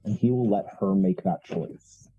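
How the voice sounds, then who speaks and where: A man playing a tabletop roleplaying game, narrating his character's actions deliberately with dramatic pauses, on muffled stream audio. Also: deep voice, slightly rough, faint music behind.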